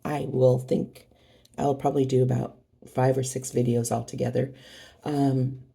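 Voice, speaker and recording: A middle-aged woman with a hoarse voice from a cold, chatting casually and rambling as she unboxes craft kits for her channel. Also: extremely low pitch, flat delivery, unhurried, mellow. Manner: rambling, chatty, many fillers and restarts.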